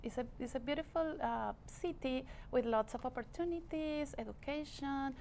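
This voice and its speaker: Higher-voiced woman with a Colombian Spanish accent. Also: moderate pace, gravelly, a little mellow, slight background noise. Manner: patient, explanatory, with frequent fillers. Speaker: guest. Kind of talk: casual interview talk.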